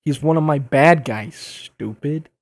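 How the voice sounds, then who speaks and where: An actor playing a young masked superhero in an audio drama, sarcastic, flustered and easily exasperated. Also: male voice, on the high side, a little slow, dry recording. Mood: defensive, sarcastic. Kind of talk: audio drama dub acting.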